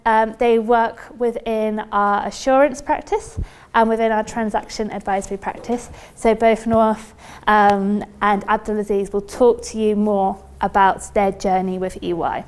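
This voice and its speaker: A woman's voice: on the high side, smooth. A woman with a British accent giving an informal, chatty workshop presentation to an audience as a company recruiter. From United Kingdom. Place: lecture room at a university.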